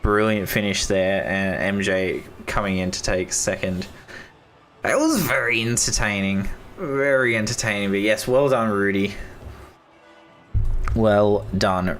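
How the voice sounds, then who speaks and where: Hoarse young Australian race commentator. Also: male voice, fairly low pitch, fairly even intonation, slow pace, fairly bright tone, faint music behind. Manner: enthusiastic, repeats praise, casual slang. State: hoarse, losing his voice.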